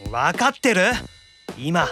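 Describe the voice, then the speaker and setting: A man's voice: fairly high pitch, sing-song, slow, bright, forceful tone, soft background music, heavily acted. A man voicing an anxious young male demon in an improvised audio drama, fretful and urgent. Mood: anxious, panicky.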